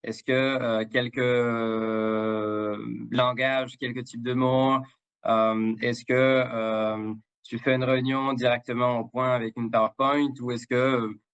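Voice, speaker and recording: A man's voice: medium pitch, flat delivery, slow pace, fairly bright tone, clean tone, lo-fi sound. A man from Mexico with a Mexican accent mixed with Québécois, native Spanish speaker, guest in a live interview, talking hesitantly with many fillers and repeated words. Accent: Mexican with Québécois French. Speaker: guest, international development director.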